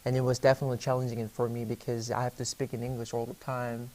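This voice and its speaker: A young man from South Korea, Korean his first language, speaks in a flat, even tone. He answers interview questions hesitantly, full of fillers and restarts. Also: mid-range voice, quick pace, rough recording. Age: young adult.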